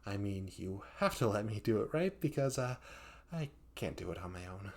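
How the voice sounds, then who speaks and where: Man acting a flustered, clingy young yandere guy, creaky voice. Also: lowish voice, big pitch swings, normal speed, a little mellow. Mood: whiny pleading. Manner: flustered, stammering, pleading, melodramatic. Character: hapless, clingy young male yandere student, sweet and desperate. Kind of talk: comedic audio roleplay addressed to the listener.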